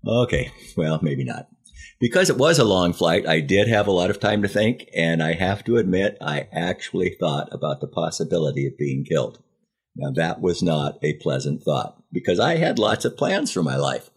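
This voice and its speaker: Husky, low-voiced older American man. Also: big pitch swings, moderate pace. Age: 70s. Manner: wry, self-deprecating, dramatizes dialogue. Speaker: podcast host. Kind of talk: first-person memoir storytelling.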